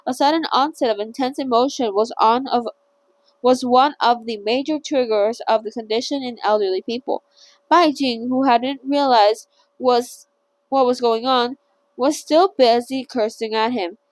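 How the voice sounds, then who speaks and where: A woman's voice: fairly high pitch, moderate pace, dry recording, rough recording. A woman hosting a casual read-along of a novel, chatty and stumbling now and then over hard words. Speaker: channel host reading a novel aloud.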